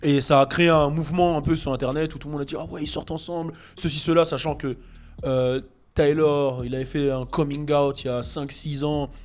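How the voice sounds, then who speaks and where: French guy hosting a rap chat, kinda high voice, lots of euh and restarts. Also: brisk. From France. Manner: relaxed, many fillers and restarts. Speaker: podcast host. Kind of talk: casual chat about rap.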